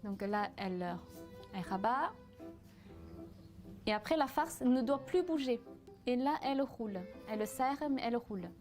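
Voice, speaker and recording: A woman of Vietnamese origin walks through a recipe in a casual demonstration, her voice sitting somewhat high. She hesitates often with fillers as she explains each step. Music plays clearly behind her. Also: expressive, normal speed, pauses often, darkish tone. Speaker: cooking association cofounder demonstrating a recipe.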